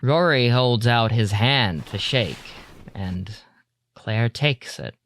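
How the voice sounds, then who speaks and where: A man narrating an audio drama in a deliberate, atmospheric, scripted storytelling style. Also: medium pitch, a bit bright. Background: music.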